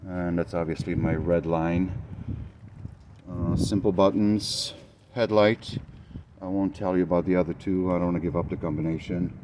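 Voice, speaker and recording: Low-voiced man, halting and full of ums. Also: steady tone, background noise. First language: Romanian. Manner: hesitant, many fillers, thinking aloud. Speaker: motorcycle builder. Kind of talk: casual walkaround vlog.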